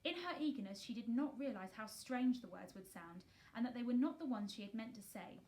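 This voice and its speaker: A woman with a slightly high voice reads a children's story. Her scripted narration is expressive, in the manner of a storybook reader. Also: a little fast.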